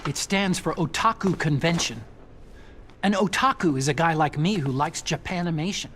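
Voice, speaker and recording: An actor with an American accent playing an earnest, nerdy young scientist in a video game, anxious, idealistic and plaintive. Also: male voice, fairly high pitch, moderate pace, background noise, natural voice. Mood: earnest, eager. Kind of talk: dramatic video game voice acting.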